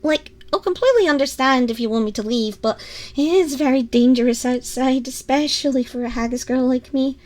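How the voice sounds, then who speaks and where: Woman voicing a timid, high-pitched tiny haggis girl. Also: brisk, a little mellow, natural voice. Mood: nervous. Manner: hesitant, stammering, pleading. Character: tiny furry haggis girl, timid, apologetic, anxious scavenger. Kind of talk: ASMR roleplay acting, addressing the listener.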